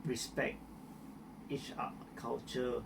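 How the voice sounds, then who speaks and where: A man's voice: medium pitch, little pitch movement, slow pace, vocal fry, recorded from a distance, noisy background. A young man from Malaysia with a Malaysian accent, answering interview questions in a hesitant way with many restarts and fillers.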